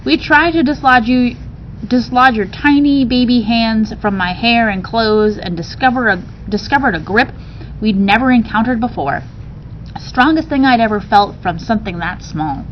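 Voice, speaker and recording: Woman reading as folksy country mom telling her tale, animated, storybook style, faint noise behind, dull sound. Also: normal speed, natural voice. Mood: animated retelling. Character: giggly country mother fondly retelling a birth tale. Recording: dull, noisy recording with rumble.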